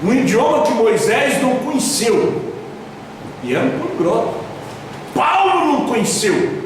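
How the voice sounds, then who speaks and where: A Brazilian pastor with a southern Brazilian accent preaching a sermon in a church hall, with sudden loud emphasis and slowly drawn-out stresses. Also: male voice, extremely high pitch, sing-song, slight background noise. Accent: southern Brazilian Portuguese. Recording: echoey church hall from far off.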